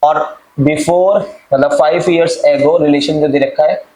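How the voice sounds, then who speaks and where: A man's voice: fairly high pitch, steady tone, takes it slowly, dark tone. Coaxing male math teacher, echoey room. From India. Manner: coaxing, calls students "beta", asks then answers his own questions. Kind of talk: step-by-step math lesson mixing Hindi and English.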